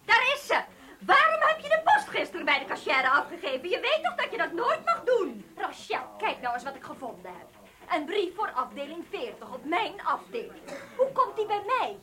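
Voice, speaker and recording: A woman's voice: extremely high pitch, big pitch swings, moderate pace, bright, forceful tone, full character voice. An actress playing a fault-finding, exasperated female department chief who nags in agitation in a radio play, with a busy office behind her. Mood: scolding. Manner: scolding, agitated.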